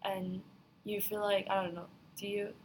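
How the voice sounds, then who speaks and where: A young woman from Korea, a podcast guest speaking English haltingly, searching for words with many fillers. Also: medium pitch, level tone, breathy edge, poor audio. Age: 20s. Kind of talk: casual chat.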